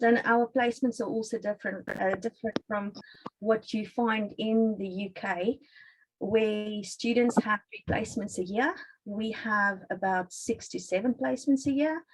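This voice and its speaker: A woman's voice: a little high, rough recording. A woman with a South African accent, a nurse presenting on a video call webinar in a friendly, chatty storytelling way. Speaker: guest speaker, ICU nurse.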